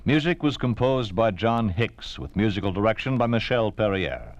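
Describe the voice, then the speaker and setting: A man's voice: fairly low pitch, animated, normal speed, no echo. An American man announcing in a scripted, grave and declarative 1950s radio style. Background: prominent music.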